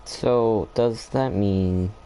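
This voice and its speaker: Dude rambling thru his game run, lowish mellow voice, keeps trailing off and restarting. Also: extremely slow, slight background noise. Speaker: gameplay streamer. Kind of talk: casual gameplay commentary.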